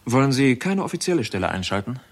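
An actor playing a sceptical senior British royal astronomer in a 1960s German radio drama, speaking in a measured, formal way. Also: male voice, mid-range voice, sing-song. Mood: considered.

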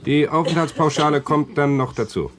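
An actor playing an officious, curt attendant in a 1970s science-fiction radio drama. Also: male voice, a little high, fairly quick, a bit bright, natural voice. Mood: brusque. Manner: curt, bureaucratic. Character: officious, brusque male attendant of a futuristic lounge. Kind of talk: 1970s German radio play acting.

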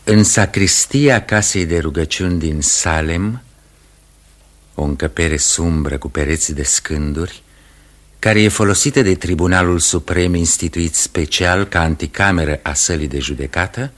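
A man with a very low voice narrates a radio drama. He sets the scene in scripted prose. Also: fairly bright tone.

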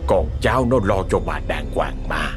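A man voicing an elderly village undertaker who talks slowly, in a weary, folksy murmur. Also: on the low side, big pitch swings, music in the background. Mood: coaxing. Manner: slow, murmuring, sighing.

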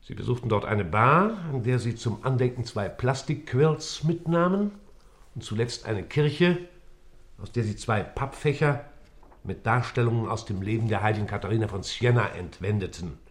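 An actor playing a dry, impatient judge in a radio play, speaking in a clipped, official, matter-of-fact way. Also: male voice, medium pitch, normal speed, natural voice. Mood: formal, factual. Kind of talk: German radio play acting.

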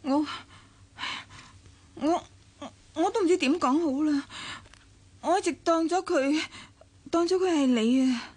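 A woman's voice: high-pitched, a little slow, long pauses, a little mellow. An actress playing a sincere, anxious, hesitant young woman in a radio drama, with a Hong Kong Cantonese accent. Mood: flustered, apologetic. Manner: hesitant, faltering.

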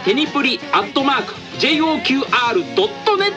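Lively man hosting an anime radio show. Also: extremely high pitch, rapid-fire, lo-fi sound, over music. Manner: animated, wry asides. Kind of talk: light banter and announcements on an anime radio show.

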